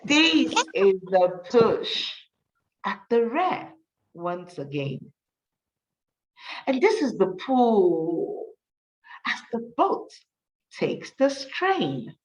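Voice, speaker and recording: A woman teacher reading a rhyming story for children, with an encouraging manner and deliberate, emphatic delivery. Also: on the high side, recorded from a distance, lo-fi sound. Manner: encouraging, praising, addressing children directly.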